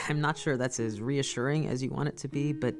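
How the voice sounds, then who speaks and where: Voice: medium pitch, steady tone, moderate pace, music in the background, lightly acted. An actor playing a wry, friendly theater crew colleague in an audio drama, dry and teasing.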